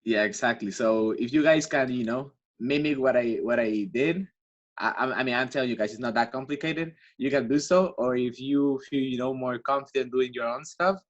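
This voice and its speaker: A young man from Spain with a Spanish accent, speaking English as a second language as a student guest in a casual podcast chat, with a halting way of talking and many restarts. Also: medium pitch, lo-fi sound. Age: young adult.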